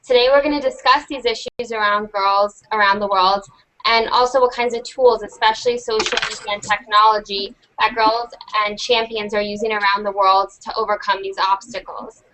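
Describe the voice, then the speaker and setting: A woman's voice: medium pitch, steady tone, moderate pace, sharp tone, poor audio. A young American woman in her teens, enthusiastically hosting an online panel with a prepared introduction. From United States. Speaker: host.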